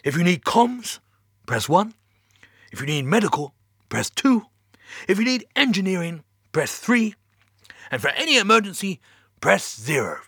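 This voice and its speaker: A man's voice: high-pitched, sing-song, a little slow, vocal fry, long pauses, a bit bright, slightly rough, no room sound, studio quality, voice pushed up, heavily acted. A man voicing a polite automated phone menu in a story, with formulaic, sing-song announcing. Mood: brightly impersonal.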